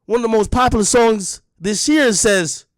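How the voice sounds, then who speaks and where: A man's voice: high-pitched, moderate pace, no room sound. A young adult man delivering a confrontational rant straight at the listener, repeating his points with heat. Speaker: online media personality. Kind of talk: direct-to-camera rant.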